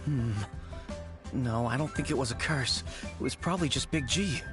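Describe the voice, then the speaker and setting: An actor playing an anxious, mournful young man in a video game, speaking in a halting, brooding way. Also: mid-range voice, close to an everyday voice. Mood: hesitant. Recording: music behind the voice. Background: game music.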